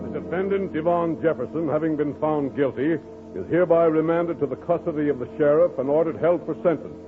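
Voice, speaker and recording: Male actor as formal, stern judge, slightly high voice, muffled vintage radio with music. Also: natural voice. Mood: stern, official. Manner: formal, measured pronouncement. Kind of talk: 1940s radio acting.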